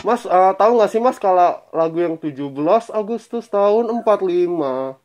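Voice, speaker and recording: A man's voice: high voice, animated, normal speed, darkish tone, soft background music. A young man asking questions in a polite, probing way, posing as a phone interviewer for a prank.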